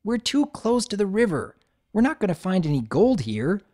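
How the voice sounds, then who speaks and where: A woman voicing a brash tomboy girl pony who talks in a gruff, sarcastic, complaining way. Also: mid-range voice, very animated, normal speed, warm tone, voice pushed up. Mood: grumbling.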